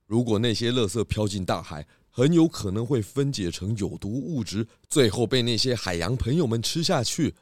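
A man's voice: mid-range voice, animated, normal speed, hardly any pauses, no room sound, studio quality, close to an everyday voice. Give me a man voicing a clever, food-loving pig detective who lectures kindly and stammers when cornered. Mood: lecturing. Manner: hesitant stammering when dodging work. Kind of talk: children's radio drama.

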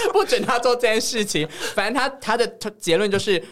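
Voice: mid-range voice, very animated, normal speed, fairly bright tone. A podcast host with a Taiwanese Mandarin accent, chatting casually in a playful, teasing way. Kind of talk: casual chat.

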